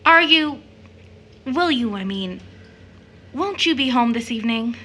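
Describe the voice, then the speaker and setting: A tender young sister in an audio drama. Her voice is bright and a bit high. She is hesitant and anxious, with a fond softness for her family. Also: lively intonation, measured pace, full character voice.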